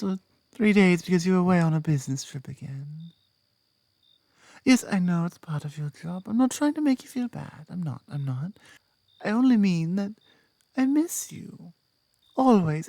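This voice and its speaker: Casting: British woman as a devoted British werewolf girlfriend for intimate ASMR, unhurried and tender, whisper-prone, close and very dry sound. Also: lowish voice, vocal fry, no room sound, full character voice. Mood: affectionate, then tender, wistful. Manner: soft, tender, often dropping into whispers. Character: devoted, doting adult female werewolf girlfriend. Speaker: ASMR roleplay performer. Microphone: close, dry microphone.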